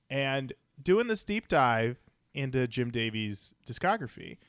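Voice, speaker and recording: A man's voice: medium pitch, dry recording, studio quality. A man hosting a casual music discussion podcast, talking in an enthusiastic, slangy, joking way. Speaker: podcast host.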